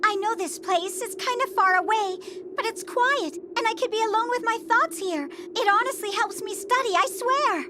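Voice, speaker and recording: A woman's voice: extremely high pitch, moderate pace, noisy background. An actress playing a polite, innocent, earnest schoolgirl in an anime dub. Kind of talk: dubbed anime acting. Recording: music loud behind the voice.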